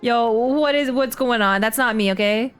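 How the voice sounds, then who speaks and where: A woman's voice: a little high, normal speed, fairly bright tone, soft background music. A young adult woman streaming a story game, giving casual, slangy reaction commentary with exclamations and repeated phrases.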